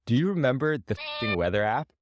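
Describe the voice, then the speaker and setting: A man chatting casually about tech as a podcast co-host, thoughtful and halting in his phrasing. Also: medium pitch, very animated, slight background noise.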